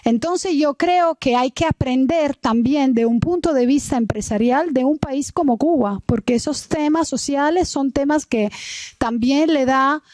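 A woman's voice: sits high, measured pace, darkish tone. A young Italian woman speaking Spanish with a Cuban accent, giving an earnest forum speech at a desk microphone in a large meeting hall, with some hesitant restarts. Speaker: business representative speaking at a forum. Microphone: desk microphone on a stand.

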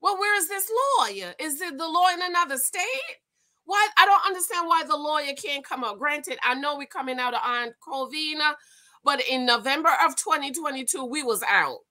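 A woman with a very high, forceful voice gives informal reaction commentary. She is animated and exclamatory, dragging out key words and repeating phrases for emphasis. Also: normal speed. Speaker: host of a commentary channel. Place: small room at home, indoors.

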